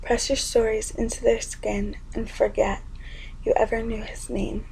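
A young woman performing spoken word poetry in an intimate, often whispered delivery with a gravelly edge. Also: on the high side, flat delivery, unhurried, dark tone, a little hiss or hum, poor audio.

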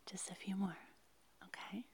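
Casting: actress to play seductive, insistent female lover for intimate audio roleplay; very breathy whisper, coaxing. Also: medium pitch, steady tone. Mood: pleading. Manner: coaxing, hushed, slow and pleading. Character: seductive, insistent woman secretly visiting a lover at night.

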